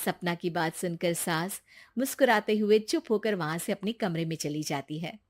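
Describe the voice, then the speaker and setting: A woman's voice: on the low side, moderate pace. A woman narrating a scripted family story as its storyteller.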